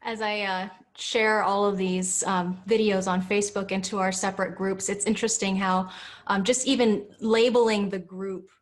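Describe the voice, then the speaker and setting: A woman in a casual panel chat speaks at a middle pitch. She is earnest and emphatic, and she stammers and restarts as she builds her point. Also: steady tone, normal speed. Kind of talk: casual group discussion.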